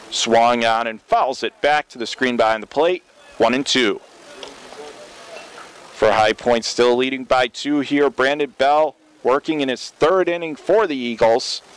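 A man's voice: medium pitch, a bit bright, low-quality recording. A man calling a baseball game live as a radio play-by-play commentator. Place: open-air baseball stadium. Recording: clear outdoor ballpark ambient noise.

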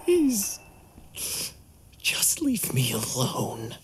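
A man's voice: medium pitch, huge pitch swings, very slow, slight background noise, full character voice. A man playing a panicked, pleading murderer. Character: terrified man haunted by his murder victim.

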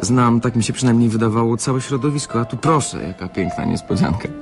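An actor playing a smooth-talking, flirtatious chess runner-up in a radio drama, flattering and repeating his words. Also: medium pitch, fairly quick, music in the background, natural voice. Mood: flirtatious, admiring. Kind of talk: Polish radio drama acting.

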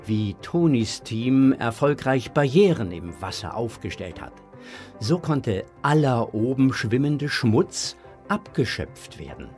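Male narrator for a children's audio drama: unhurried, very lively pitch. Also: soft background music.

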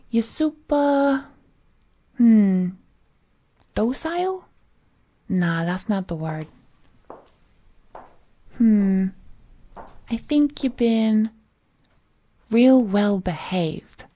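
A woman's voice: a little high, very animated, extremely slow, pauses often, warm tone, heavily acted. A woman playing a cheerful, possessive villainess kidnapper in a roleplay audio drama, cooing and coaxing the listener in folksy slang. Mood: teasing, pleased. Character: cheerful, possessive female supervillain kidnapper, doting and unhinged.

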